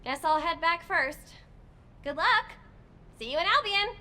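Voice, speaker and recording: An actress voicing a spirited, informal female fighter in a video game, with expressive delivery. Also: very high-pitched, measured pace, slight background noise, exaggerated voice. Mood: wry, brisk. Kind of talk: scripted game voice lines.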